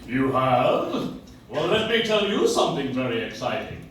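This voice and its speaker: A man's voice: medium pitch, sing-song, normal speed, fairly bright tone. A man playing a jovial, blustery department store boss in a live radio play, with hearty, emphatic delivery. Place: coffeehouse stage, picked up from the audience. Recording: echoey faintly noisy room, distant microphone.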